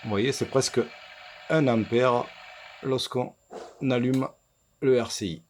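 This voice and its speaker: A man presenting an unscripted workshop demonstration as a repair technician, explaining things in a halting, hesitant way. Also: mid-range voice, steady tone, a little hiss or hum. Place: indoor electronics workshop.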